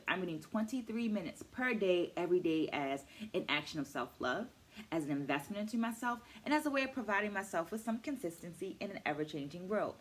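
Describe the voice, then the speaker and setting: A middle-aged woman hosting a book club vlog, explaining to camera with deliberate, emphatic phrasing. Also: medium pitch. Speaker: host of a virtual book club, educator. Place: small furnished room at home, indoors.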